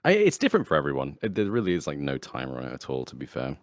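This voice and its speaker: A man in his twenties chatting casually on a podcast, thinking out loud in a rambling, reflective way. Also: rumbling low, huge pitch swings, very fast, dry recording, studio quality. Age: 20s. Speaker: podcast guest.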